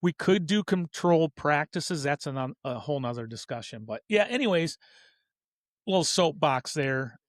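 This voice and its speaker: Higher-voiced man in his fifties, close dry sound. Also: expressive, normal speed, no room sound. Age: 50s. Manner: earnest, rambling, self-correcting. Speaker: host of a hobby racing show. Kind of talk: casual opinionated talk about hobby racing.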